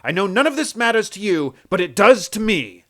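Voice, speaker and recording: A man's voice: sits high, very animated, a little fast, a bit bright. An actor playing a stern, pompous middle-aged tycoon and domineering father in a radio play. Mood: cold, dismissive. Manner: formal, pompous.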